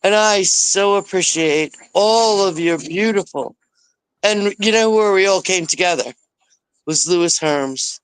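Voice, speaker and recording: A man's voice: high voice, a little slow, fairly bright tone, clean tone, no room sound, rough recording. A man calling in to a chat show, earnest and effusive, speaking about spiritual connection. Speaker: caller. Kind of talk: casual call-in chat.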